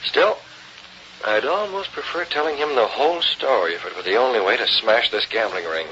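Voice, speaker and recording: An actor playing a determined young newspaper publisher with an insistent, clipped way of speaking, in an old radio drama. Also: male voice, medium pitch, lively intonation, moderate pace, bright, forceful tone. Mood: plotting. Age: young adult. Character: determined, probing young newspaper publisher, secretly a masked crimefighter. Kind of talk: 1930s-40s radio drama acting. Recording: dull, noisy old radio recording.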